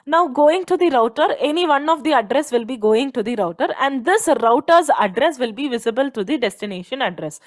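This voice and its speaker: A woman's voice: high voice, lively intonation, fairly quick, hardly any pauses. A woman with an Indian accent giving an explanatory technical lecture, posing questions and repeating key points like a teacher. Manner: didactic, poses questions then answers them, repeats key points.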